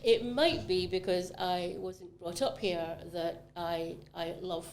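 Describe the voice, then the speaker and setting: A woman's voice: fairly low pitch, fairly even intonation, slow, a little hiss or hum. Chatty Scottish woman, enthusiastic. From Scotland. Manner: chatty, enthusiastic, many ums. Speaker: commercial property manager. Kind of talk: casual podcast conversation.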